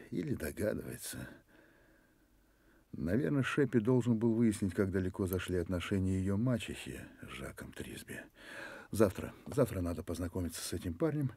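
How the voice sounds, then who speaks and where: A restless private eye in a radio play, thinks aloud to himself. His voice is gravelly and slow-paced, lively in pitch, with muttered, wry asides over music. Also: dry recording, heavily acted. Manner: muttering, exclaiming, dropping into whispers.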